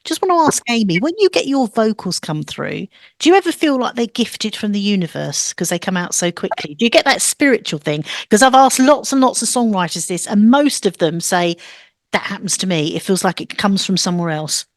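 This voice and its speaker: A woman hosts a radio interview, asking her guests questions in a friendly, upbeat way. Her voice sits in a medium pitch, and the sound is close and dry. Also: expressive, fairly quick, no room sound. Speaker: radio show host.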